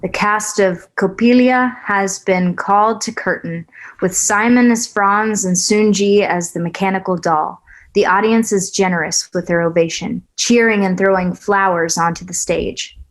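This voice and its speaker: A woman reading stage directions aloud at a script table read, even and matter-of-fact. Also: medium pitch, unhurried.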